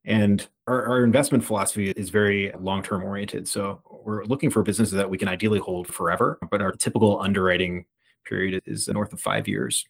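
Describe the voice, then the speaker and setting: A man in his thirties from the Philadelphia area, a fund manager talking as a podcast guest in a relaxed, conversational way that often restarts phrases. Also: on the low side, fairly quick, creaky, slightly rough. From United States (Philadelphia area). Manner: conversational, frequent restarts and repeated words. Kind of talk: podcast interview.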